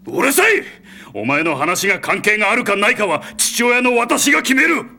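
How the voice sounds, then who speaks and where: An actor playing a stern, middle-aged swordsmith father in an anime drama, gruff and emotional. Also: high voice, very animated, normal speed, sharp tone, full character voice. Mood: furious. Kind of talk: anime voice acting.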